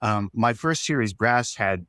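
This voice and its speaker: A man giving advice in a casual interview, thoughtful and halting as he talks. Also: on the low side, expressive, fairly bright tone, no echo, clean studio sound. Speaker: audio drama writer being interviewed.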